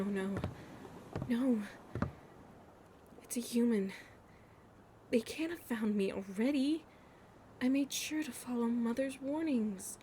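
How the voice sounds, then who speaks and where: A woman acts out a young mermaid in an ASMR roleplay, speaking very slowly in a fairly high voice. She is anxious and hurt yet stubbornly feisty, murmuring to herself in halting bits, with ambient noise clearly behind her. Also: warm tone. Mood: panicked. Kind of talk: ASMR audio roleplay, breathy dramatic acting.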